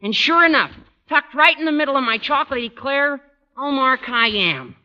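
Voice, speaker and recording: An actor playing a lovesick, overwrought teenage high school boy with a plaintive delivery in a vintage radio sitcom. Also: lively intonation, normal speed. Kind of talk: 1940s-50s radio sitcom acting. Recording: muffled, hissy old radio, studio audience.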